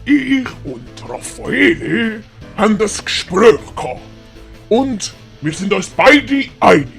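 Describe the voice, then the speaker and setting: A scheming family patriarch , acted out by a woman speaks with a Swiss German accent. The older man's voice is gravelly and draws words out slowly, wheedling in an oily way. Faint music sits under this audio-story villain. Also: mid-range voice, very animated, heavily acted. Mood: smooth, patronizing.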